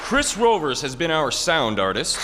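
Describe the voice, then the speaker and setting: A man's voice: on the high side, sing-song, normal speed, a loud crowd. A man announcing in a formal, showman-like old-time radio style. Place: theatre stage before an audience. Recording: echoey hall, audience and background noise.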